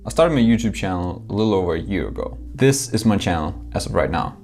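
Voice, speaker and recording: Young guy vlogging to camera, kinda low voice, faint music behind, talks about himself candidly. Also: lively intonation, fast talker, darkish tone. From Denmark. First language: Danish. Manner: candid, self-deprecating. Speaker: YouTuber. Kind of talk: scripted vlog to camera.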